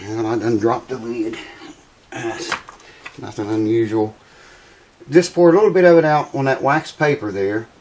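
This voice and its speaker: Raspy, hoarse man. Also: medium pitch, normal speed, long pauses, a little mellow, slight background noise. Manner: rambling, folksy, swears casually. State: raspy, losing his voice. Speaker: guitar repair vlogger. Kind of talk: casual workshop chat to camera. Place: small cluttered home workshop with mounted animal heads, indoors.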